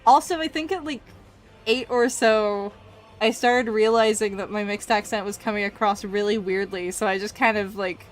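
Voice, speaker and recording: A woman's voice: medium pitch, moderate pace. A woman from Scotland with a mixed, Canadian-leaning North American accent, chatting casually and in a giggly way as a livestreamer. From Edinburgh, Scotland. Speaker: streamer. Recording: music behind the voice. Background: background music.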